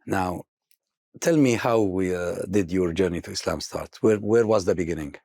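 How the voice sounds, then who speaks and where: A woman interviewing a guest, asking gentle probing questions and using religious greetings. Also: a bass voice, normal speed, no echo, studio quality.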